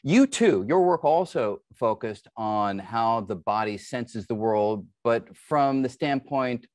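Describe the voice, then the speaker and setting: A man's voice: medium pitch, expressive, slow, darkish tone, no echo. A middle-aged man hosting an interview over a video call, asking long questions and giving brief responses. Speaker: interview host. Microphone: video call webcam.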